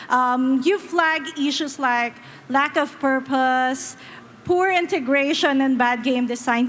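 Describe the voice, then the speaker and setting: Upbeat high-voiced woman moderating a panel. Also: fairly bright tone, a little hiss or hum. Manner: upbeat, enthusiastic. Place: conference stage.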